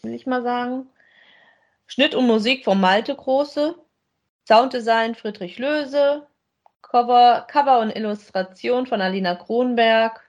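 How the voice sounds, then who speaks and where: A woman's voice: fairly high pitch, unhurried. A woman chatting casually as co-host of a podcast that reviews audio dramas.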